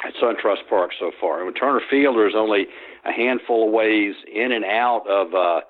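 A man's voice: on the low side, normal speed. A man, a sports historian, answering interview questions by phone, rambling with frequent restarts and fillers. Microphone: telephone. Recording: tinny phone line.

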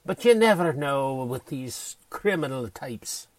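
A man playing an assured Victorian police inspector in a radio play, with a brisk, official manner. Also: mid-range voice, lively intonation, no echo. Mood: businesslike, grim.

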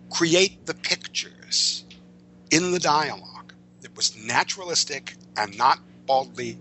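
A man with a strikingly bright, forceful voice talks as a writer being interviewed. He explains in an emphatic, halting, wandering way. A faint noise and hum sit under the recording. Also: on the high side, poor audio. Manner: digressive, emphatic, halting delivery. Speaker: interview guest, comic book and screen writer. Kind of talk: conversational explanation of film and comics craft.

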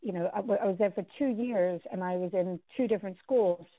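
A woman's voice: mid-range voice, fairly quick, no echo. A woman being interviewed as an author guest, talking chattily with many restarts and fillers. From born in Guyana, raised in England and the Caribbean. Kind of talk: conversational interview answers. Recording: tinny phone line, dry.

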